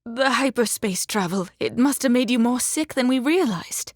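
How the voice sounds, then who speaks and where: An anxious woman in a dramatic audiobook. Her voice sits fairly high and is urgent and emotional, pleading with someone she wants to protect. The sound is dry and studio-clean. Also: expressive, moderate pace, no room sound. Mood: dismayed. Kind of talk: audiobook character acting, dramatic.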